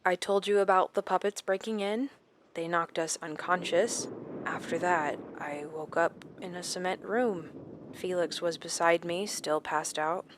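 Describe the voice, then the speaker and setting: A woman's voice: lowish voice, fairly even intonation, measured pace, background noise, lightly acted. A woman playing an anxious but determined captive therapist in a horror audio drama, with an earnest manner. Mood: subdued recounting.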